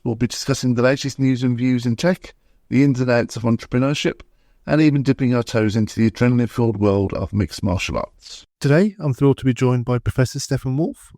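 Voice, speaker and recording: A man's voice: mid-range voice, mellow, no room sound. A young man hosting a podcast, with a mid-range voice recorded close and dry. He asks his questions casually, wandering through his phrasing with plenty of fillers. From England. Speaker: podcast host. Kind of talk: podcast interview.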